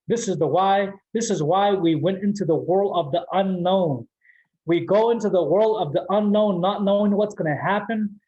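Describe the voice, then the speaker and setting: Man, guest speaker, casual motivational talk, jokes and laughs a lot, slightly high voice, muffled lo-fi call audio. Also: a little mellow. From New Mexico, Navajo Nation. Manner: joking, laughs often.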